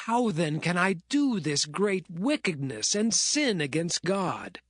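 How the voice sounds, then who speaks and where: A man's voice: high-pitched, big pitch swings, slow pace, fairly bright tone, dry recording, clean studio sound, exaggerated voice. A man playing a righteous, devout young Hebrew servant in a dramatised scripture reading, earnest and formal. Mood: earnest refusal.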